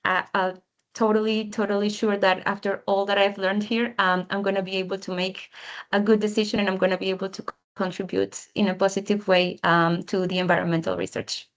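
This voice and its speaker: A young woman, a current master's student, speaking about her program in an info session, with many pauses, fillers and restarts. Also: medium pitch, little pitch movement.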